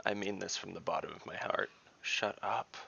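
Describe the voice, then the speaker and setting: A man's voice: low-pitched, little pitch movement, moderate pace, gravelly, a crowd in the background. A man playing a surly, foul-mouthed mercenary leader in a tabletop game, blunt and irritable. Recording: dull online call audio.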